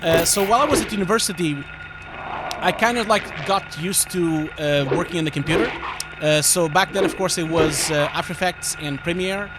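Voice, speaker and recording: A man's voice: high-pitched, normal speed, heavy background noise. A man of about forty from Portugal with a Portuguese accent, chatting casually and ramblingly on a livestream while gaming and answering viewers. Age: 40s. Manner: rambling, easily distracted, informal with swearing. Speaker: livestream host, visual effects artist.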